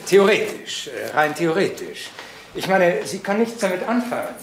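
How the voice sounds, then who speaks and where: An actor playing a patronising adult son, halting, formal and pedantic, in a naturalistic crime drama. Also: male voice, sits high, sing-song, slight background noise. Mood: fussily explaining. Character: pompous, patronising adult son, coolly reasoning, fussy.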